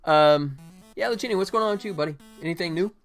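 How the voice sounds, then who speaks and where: A man's voice: high-pitched, quick pace. Chatty American man streaming over music. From United States, Atlanta. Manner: informal, chatty, addresses viewers by name. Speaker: game developer and livestream host. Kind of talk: casual livestream chat while working.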